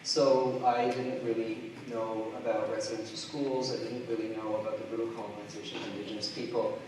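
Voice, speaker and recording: A middle-aged man from Trinidad and Tobago giving a conference keynote, halting often with fillers and restarts. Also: fairly low pitch, level tone, darkish tone, slight background noise. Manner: hesitant, many fillers and restarts. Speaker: keynote speaker. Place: large lecture hall. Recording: distant mic in an echoey hall.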